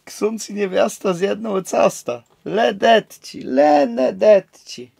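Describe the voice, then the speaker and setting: Casting: young man as bantering Kashubian countryman, gravelly edge, slowish, dry close recording. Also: high-pitched, lively intonation, a little mellow, no room sound, heavily acted. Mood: jovial, bantering. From Poland. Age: young adult. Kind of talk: amateur student audio drama acting in Kashubian.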